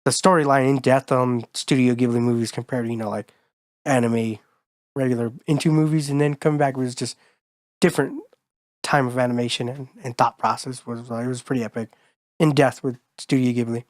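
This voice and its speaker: Hoarse male podcast co-host, easygoing slangy rambler, mid-pitched, dry close sound. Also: steady tone, a little fast, no echo. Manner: laid-back, rambling slangy talk. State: hoarse from being sick. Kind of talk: casual chat.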